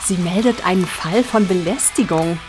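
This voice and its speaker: A woman's voice: lowish voice, moderate pace, soft background music, natural voice. A woman playing an easygoing, dryly teasing police deputy in an amateur audio drama. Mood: wry, informative. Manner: dry, gently teasing. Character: wry, easygoing female police station deputy.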